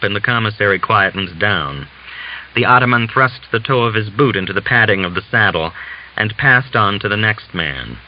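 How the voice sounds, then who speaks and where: A man reading literary narration as an audiobook narrator, in a measured, deliberate storytelling manner. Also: fairly low pitch. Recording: dull hissy lo-fi recording.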